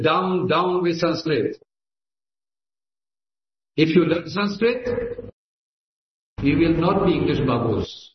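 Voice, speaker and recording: A man with an Indian accent, a native Gujarati speaker, giving an emphatic, declamatory speech at a meeting. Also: on the high side. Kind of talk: impassioned speech. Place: assembly hall. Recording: echoey lo-fi hall recording.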